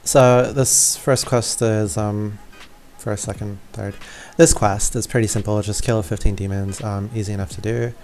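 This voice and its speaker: A man's voice: fairly low pitch, fairly even intonation, moderate pace, darkish tone, soft background music. A man giving a casual, informal and slightly apologetic walkthrough commentary on a video game. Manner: informal, apologetic, self-deprecating. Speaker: gaming video creator.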